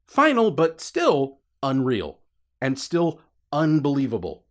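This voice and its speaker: A man's voice: mid-range voice, wildly swooping pitch, takes it slowly. A man narrating fiction as a scripted, dramatic audiobook reading.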